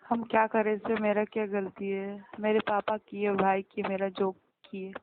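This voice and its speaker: Young adult female, plain-spoken and earnest, monotone delivery, thin call audio with heavy noise and clicks. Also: medium pitch, moderate pace. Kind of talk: casual phone conversation. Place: phone call. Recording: very noisy phone line with clicks.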